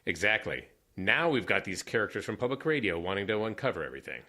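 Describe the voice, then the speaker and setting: A blunt, impatient townsman who wants outsiders kept out. His voice is low and forceful, with a lively swing in pitch. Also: fast, heavily acted. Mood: tense. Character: blunt male small-town fixer, secretive.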